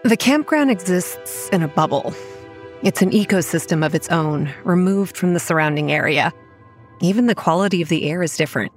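An actress playing a wry, weary young campground manager who narrates a horror audio drama in a measured, dry way. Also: female voice, on the low side, expressive, lightly acted. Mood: wry, reflective. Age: young adult. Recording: music under the voice.

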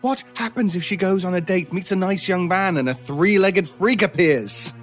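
A man acts out a sinister male stranger for an old-time style horror radio drama. He is scornful and cutting, with a theatrical, deliberate delivery that taunts and persuades coldly. Music plays behind him. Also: high-pitched, natural voice. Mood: scornful, exasperated.

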